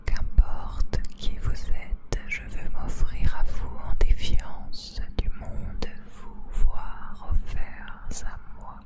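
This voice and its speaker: A woman reading poetry as ASMR in a breathy whisper, with deliberate, expressive phrasing and long pauses.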